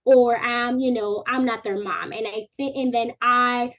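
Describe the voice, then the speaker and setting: A woman in her thirties who grew up in the Dominican Republic talks as an interview guest, her voice sitting slightly high. She speaks earnestly and with animation, stringing long clauses together. The sound is narrow and tinny, like a phone call. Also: a little slow. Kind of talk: podcast interview.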